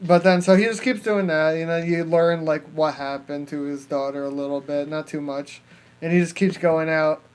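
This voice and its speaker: A man's voice: on the high side, steady tone, normal speed, clean tone. A man hosting a podcast, casually reviewing films in a rambling, sweary way. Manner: rambling, profane, filler-heavy storytelling. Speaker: podcast host. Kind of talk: casual movie review chat.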